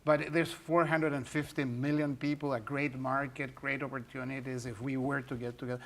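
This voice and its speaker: A man's voice: mid-range voice, flat delivery, normal speed, fairly bright tone. A man moderating a question session, speaking hesitantly with stumbling restarts.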